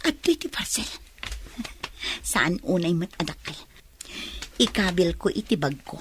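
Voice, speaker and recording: A woman's voice: on the low side, very animated, unhurried, noisy background. An actress playing a sly, scheming young woman in a radio drama, often musing aloud to herself.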